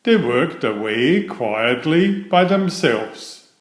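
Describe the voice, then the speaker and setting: A man reading a children's story aloud in a measured, expressive storytelling manner. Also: mid-range voice, echoey room.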